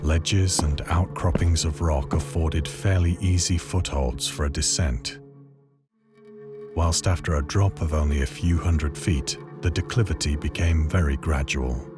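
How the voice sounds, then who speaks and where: A man playing a haunted castaway, narrating a horror tale in a measured, solemn, literary manner for a game. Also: rumbling low. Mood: solemn, awed. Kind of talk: scripted dramatic narration of a horror story. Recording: loud music under the voice. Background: loud music.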